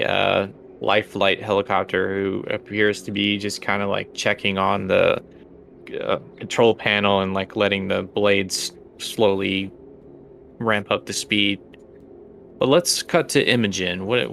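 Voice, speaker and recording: Male game master, low and croaky, improvising narration in halting phrases. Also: level tone, pushed and bright, slight background noise.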